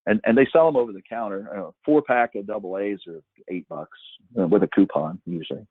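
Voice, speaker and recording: Tinny phone-line audio, man, slightly low pitch, husky tone, emphatic advice, informal expert answers. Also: fairly quick, dry recording. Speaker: guest expert presenter. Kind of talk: informal webinar question-and-answer.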